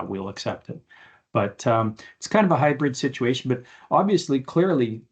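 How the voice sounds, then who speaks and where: A man speaking as an expert on an aviation podcast, explaining things conversationally and haltingly with informal examples. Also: mid-range voice.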